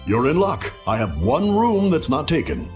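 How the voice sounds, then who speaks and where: An actor playing a chipper, obsequious innkeeper in a video game, polite and salesmanlike. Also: male voice, on the high side, huge pitch swings, normal speed, soft background music. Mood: businesslike. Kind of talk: English video game dub acting.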